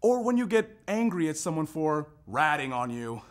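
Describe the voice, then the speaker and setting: A man performing a scripted narration in a readers theater style, with emphatic, deliberate phrasing. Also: a little high, big pitch swings. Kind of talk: scripted narration, staged recitation.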